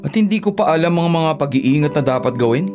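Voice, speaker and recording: An actor playing a distrustful, indignant son of thirty in a Filipino radio drama, grumbling and emphatic. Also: male voice, on the high side, lively intonation, fast, music in the background, close to an everyday voice. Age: 30s. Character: distrustful, indignant thirty-year-old son, a bank guard.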